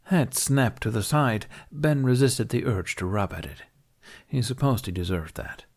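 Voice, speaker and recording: A man's voice: mid-range voice, unhurried. A man reading a scripted story as an audiobook narrator, in a dramatic narrative style.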